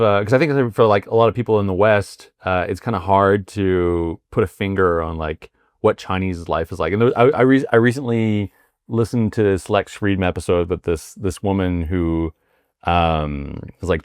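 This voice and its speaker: Low-voiced podcast host guy, stumbles and restarts a lot, dead dry mic. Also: clear, resonant tone, dry recording. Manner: halting, many fillers and restarts. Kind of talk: casual interview with read ad intro.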